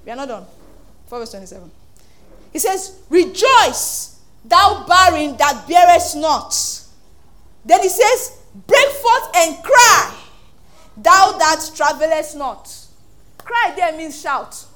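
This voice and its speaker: A Nigerian woman pastor preaching an animated sermon, acting out voices and laughing often. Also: very high voice, slow pace.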